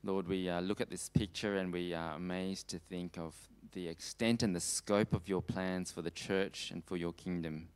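Male preacher, deep clean tone, hesitant sermon delivery with mid-sentence pauses. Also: steady tone, clean tone. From Australia. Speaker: preacher giving a talk on world missions. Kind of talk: sermon with slides, closing in prayer.

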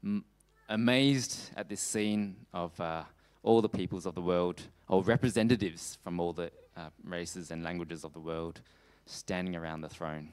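A man preaching a missions sermon in a halting way, often pausing mid-phrase. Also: low voice, darkish tone, clean tone. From Australia. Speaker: preacher giving a talk on world missions. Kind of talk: sermon with slides, closing in prayer.

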